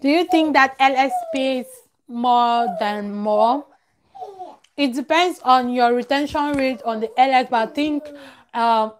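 A woman's voice: high voice, poor audio. A young woman chatting casually on a live stream, emphatic and repeating phrases for stress. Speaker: vlogger, live-stream host. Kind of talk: casual live-stream chat, advice to viewers. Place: small room at home, close to a plain wall. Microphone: clip-on lavalier at the collar.

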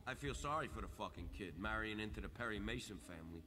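A man's voice: fairly low pitch, lively intonation, fast talker, lightly acted. A man voicing a sardonic, foul-mouthed mobster in a video game, in very noisy game audio.

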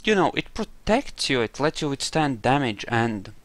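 A man's voice: mid-range voice, sing-song, moderate pace, dry recording. A man giving casual commentary on a role-play game playthrough, musing and thinking aloud as he goes.

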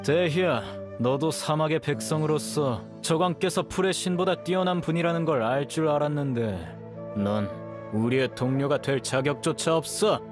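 An actor playing a contemptuous, zealous desert follower in a video game, righteous and scornful. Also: male voice, fairly high pitch, moderate pace, close to an everyday voice. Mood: reproachful. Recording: music clearly behind the voice.

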